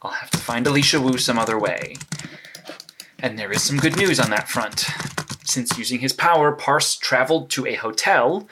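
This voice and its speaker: A man's voice: medium pitch, bright, forceful tone, a little hiss or hum, close to an everyday voice. An actor playing a rattled young male hero who stammers anxiously as he records a case log in an audio drama. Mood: focused. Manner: stammering, anxious self-talk. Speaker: superhero keeping a case log.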